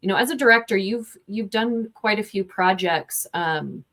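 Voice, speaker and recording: A woman film reviewer hosting an interview, chatty and lively, talking in a casual way with lots of fillers. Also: mid-range voice, moderate pace.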